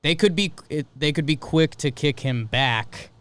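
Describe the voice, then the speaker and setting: A man's voice: on the high side, a little slow, clean tone. Clean-voiced man chatting casually about sports. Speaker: sports podcast co-host.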